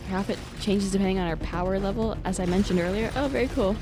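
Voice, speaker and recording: A woman's voice: medium pitch, quick pace. A young adult woman giving casual, joking gameplay commentary as a streamer, with playful exclamations. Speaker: video game streamer. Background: game music.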